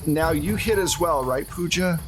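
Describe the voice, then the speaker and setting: A man's voice: on the high side, normal speed, fairly bright tone, slight background noise. A man narrating a tabletop horror roleplaying game as game master, in a casual, conversational way.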